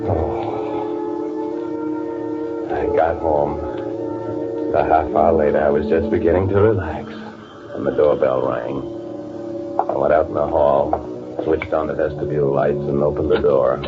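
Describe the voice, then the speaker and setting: A man acting as a panicky, guilty narrator in old-time radio drama, nervous and halting under pressure. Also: extremely low pitch, fairly even intonation. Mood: uneasy. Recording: phone-like old radio recording with loud music.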